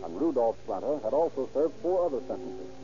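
A man's voice: mid-range voice, very animated, brisk. A man giving formal, matter-of-fact scripted narration in an old radio crime drama. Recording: dull old radio recording with music.

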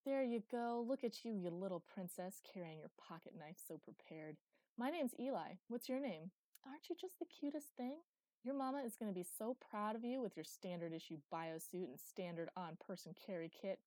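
A woman voicing a cocky, playful teenage boy acrobat in an audiobook, chattering in an excitable, teasing, boastful way. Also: medium pitch, moderate pace, no echo, natural voice. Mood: playful, gushing. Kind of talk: audiobook character dialogue.

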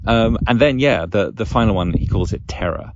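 A man hosting a solo podcast in a casual, reflective way, thinking aloud in halting phrases. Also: lowish voice, animated, dry recording, highs cut off. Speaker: podcast host and game master.

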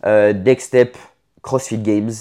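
Casual young Frenchman with a lowish voice. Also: little pitch movement, takes it slowly, warm tone. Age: late 20s. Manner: informal, franglais slang mixed in. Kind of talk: casual chat about career and personal life.

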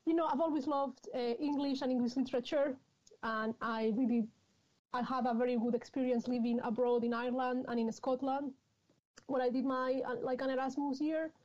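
A woman's voice: high-pitched, fairly even intonation, a little fast, a little mellow. A woman in her thirties from Spain with a Spanish accent, speaking English as a second language and chatting frankly as a guest author in an interview. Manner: laughs often, frank and chatty. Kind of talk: conversational interview. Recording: dull lo-fi sound.

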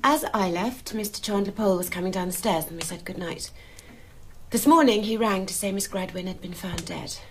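An actress playing a composed, businesslike office assistant in a radio drama, giving an orderly, matter-of-fact account. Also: female voice, medium pitch, brisk, a little hiss or hum, close to an everyday voice. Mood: factual.

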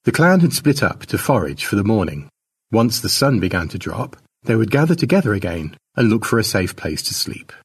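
A man's voice: lowish voice, sing-song, a little fast, slightly rough, far from the mic. A man narrating his own short story as a scripted fiction reading.

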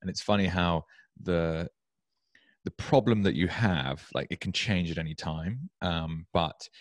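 A man's voice: sits low, dry recording, studio quality. A man from the UK, in his thirties, hosting a podcast interview in a casual, rambling way with frequent restarts. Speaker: podcast host.

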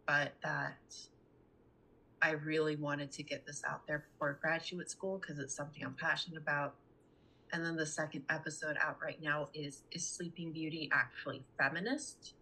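A woman's voice: deep voice, bright, forceful tone, slightly rough, poor audio. A young adult woman talking casually as a podcast guest, earnest and chatty. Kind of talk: casual conversational interview talk.

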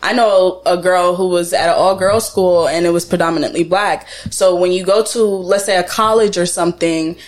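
A young woman with a slightly low voice hosts a relaxed podcast chat. She talks loosely, laughs often and swears here and there. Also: normal speed, few pauses, low-quality recording. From Jamaica. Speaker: podcast host. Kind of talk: casual chat.

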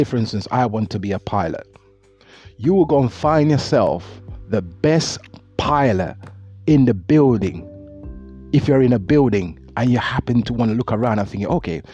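A man's voice: mid-range voice, darkish tone, breathy edge. A middle-aged man, earnestly giving advice in a reflective monologue, often repeating words as he talks. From Jamaica. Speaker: motivational speaker. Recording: dull lo-fi audio with faint music.

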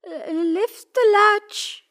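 女声扮演的虚弱高音老奶奶. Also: female voice, very high-pitched, very slow, a bit bright, pitched above the performer's own voice, heavily acted. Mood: feeble. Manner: frail, plaintive. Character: feeble, ailing old grandmother.

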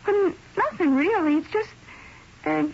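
A woman's voice: very high voice, unhurried, creaky, exaggerated voice. An actress playing a guileless young woman who talks in a casual, hesitant, girlish way in an old-time radio drama. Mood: uneasy, earnest. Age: young adult. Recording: dull, tinny old radio recording.

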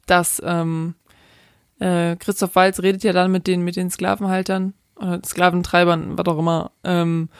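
A woman's voice: fairly low pitch, dry recording, studio quality. A young adult woman co-hosting a casual film chat, enthusiastic and slangy, laughing often. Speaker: podcast co-host.

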